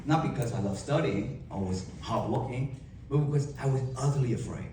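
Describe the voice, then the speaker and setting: A young man with a Vietnamese accent giving an emphatic motivational keynote to a live audience, with dramatic pauses and rhetorical questions. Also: medium pitch, darkish tone, slight background noise. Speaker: motivational speaker. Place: large event hall. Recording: echoey hall from far off.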